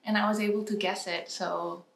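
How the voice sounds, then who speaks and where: A woman co-hosting a casual chat, explaining things in a relaxed way with plenty of fillers. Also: mid-range voice, a little fast, roomy sound, low-quality recording. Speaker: co-host of a reaction channel.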